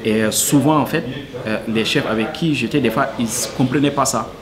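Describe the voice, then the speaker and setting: A man's voice: medium pitch, brisk, crowd noise. A man from Senegal, answering interview questions earnestly and hesitantly, full of fillers. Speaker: cook, interviewee.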